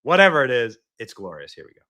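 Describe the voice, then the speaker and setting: Excitable male talk-show host. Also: medium pitch, fast. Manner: excitable, rambling, repeats himself. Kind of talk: live political talk show, ad read. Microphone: phone.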